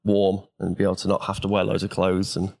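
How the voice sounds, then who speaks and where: A man's voice: low-pitched, completely flat delivery, fast talker, gravelly, a little mellow. A man from Wales chatting casually as an interview guest. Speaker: podcast guest, Bitcoin developer.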